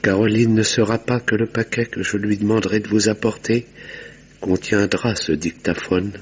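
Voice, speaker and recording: An actor playing a guarded, weary village doctor with a bitter secret, speaking in a measured, formal way in a French radio drama with muffled sound. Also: male voice, on the low side, fairly even intonation, slightly rough. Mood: resigned confession.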